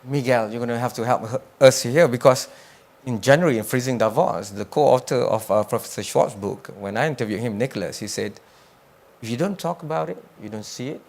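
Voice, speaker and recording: Male panel moderator, probing, long-winded questions, mid pitch. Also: fairly quick, vocal fry, a little mellow. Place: conference panel stage.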